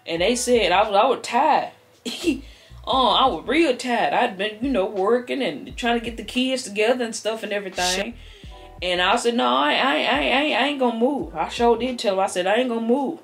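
A woman's voice: a little high, animated, normal speed. A woman playing a sassy old American grandmother, stammering and repeating herself as she tells folksy tall tales on camera. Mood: rambling, sly. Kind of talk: improvised comedic storytelling. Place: living room couch, indoors. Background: background music.

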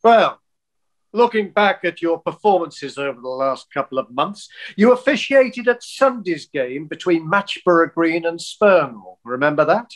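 An actor playing a polite, exasperated county football official, formal and tactful, on a video call. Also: male voice, on the high side, big pitch swings, a little slow. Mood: tactful, firm. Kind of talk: filmed comedy acting on a video call.

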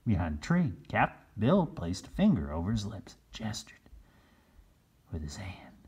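A man narrates a children's storybook. His voice is husky and swings widely in pitch, and he takes his time over the words. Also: wildly swooping pitch, a little slow, darkish tone. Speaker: storybook reader. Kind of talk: animated children's read-aloud narration.

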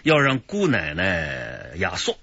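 An actor playing a calm, wry senior police officer in a radio drama, with a deep, husky voice. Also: male voice, takes it slowly, no room sound, highs cut off, heavily acted. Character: dry-humored, calm older male police officer. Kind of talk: broadcast radio drama acting.

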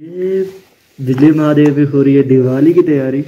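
A young man chatting excitedly to viewers while camping on a mountain meadow, filmed on an action camera. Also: mid-range voice, moderate pace, muffled recording, noisy background. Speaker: travel vlogger. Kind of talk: casual camping vlog. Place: outdoors on a mountain meadow by a campfire.

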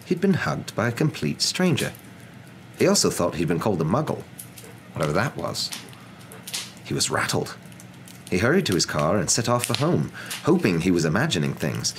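Low-voiced, animated male storyteller. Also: slight background noise. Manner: expressive, dramatic storytelling. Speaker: storybook narrator, voice actor. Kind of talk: ASMR audiobook narration.

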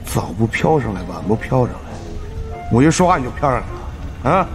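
A man's voice: mid-range voice, big pitch swings, moderate pace, over music, pitched above the performer's own voice, natural voice. A man voicing a brash, sarcastic fat young man who grumbles and bickers, in an audiobook. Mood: sarcastic grumbling. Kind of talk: audiobook character dialogue.

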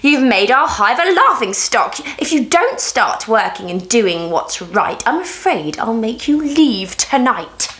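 A woman voicing an angry, bossy queen bee in a storybook reading, speaking loudly and sharply. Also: on the high side, sing-song, measured pace, hardly any pauses. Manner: loud scolding delivery.

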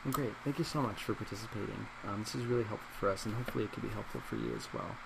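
Guy in his 20s doing soft asmr psychologist roleplay, super gravelly fry, loud laptop fan whirring. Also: lowish voice, lively intonation, racing, noisy background. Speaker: psychologist in a role play. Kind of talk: soft-spoken ASMR role play. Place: small office, indoors.

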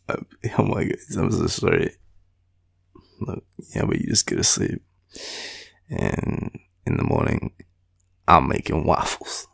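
A tired young Australian man murmuring an affectionate, rambling boyfriend roleplay in a deep, gravelly, breathy voice. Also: huge pitch swings, slow, pauses often. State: tired, sleepy. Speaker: boyfriend roleplay audio performer. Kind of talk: intimate sleepy roleplay, ASMR-like rambling.

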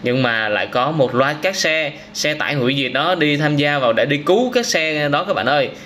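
High-voiced man commentating over noisy game footage. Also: animated, a little fast, fairly bright tone. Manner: exclamatory, simple child-directed storytelling. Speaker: host of gaming reaction videos for children. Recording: noisy, car engine sounds behind.

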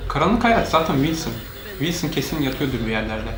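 A man's voice: a little high, big pitch swings, fast, a bit bright. A man hosting a casual let's-play, narrating each move for viewers as a parent playing along with a child. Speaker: gaming channel host, parent playing with child. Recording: echoey room, faint noise.

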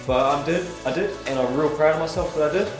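A young man, croaky with a cold, chatting upbeat to camera in his living room, filming at arm's length. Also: a little high, fast talker, music in the background. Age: young adult. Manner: chatty, upbeat, filler-heavy. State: hoarse with a cold. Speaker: vlogger. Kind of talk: casual vlog chat to camera. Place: living room at home, indoors.

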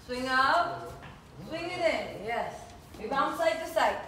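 Female dance teacher, high voice, unhurried counts and step cues, recorded at a distance with music. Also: slight background noise. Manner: energetic, instructing in short calls. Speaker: dance instructor. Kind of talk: calling out dance steps and counts. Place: large indoor dance studio. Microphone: distant phone camera. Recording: echoey studio, far from phone, music.

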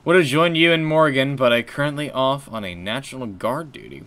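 A young man with a deep voice chatting on a gaming stream, low-key and rambling with fillers and restarts. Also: brisk, a bit bright. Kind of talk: casual gaming stream chat.

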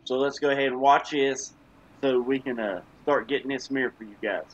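A man's voice: mid-range voice, brisk, highs cut off. A man giving casual, laid-back gameplay commentary as a gaming video host, with informal, slangy phrasing. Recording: game music behind the voice. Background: video game music.

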